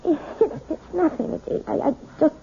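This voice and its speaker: An actress playing a gentle, anxious battered wife in a 1970s radio drama, hesitant and pleading. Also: female voice, high-pitched, normal speed, vocal fry, slight background noise. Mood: distressed, evasive. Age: adult, older than twenty. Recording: tinny, band-limited old radio broadcast.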